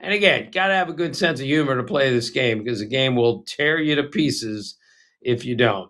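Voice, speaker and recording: An older man co-hosting a casual chat show, telling stories with animation and slipping into quick impressions. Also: medium pitch. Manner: animated storyteller, breaks into impressions. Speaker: podcast co-host.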